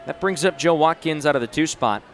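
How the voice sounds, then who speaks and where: A man's voice: a little high, brisk, fairly bright tone. A man in his twenties doing live play-by-play sports commentary in short, punchy announcer phrases. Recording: noisy live venue sound.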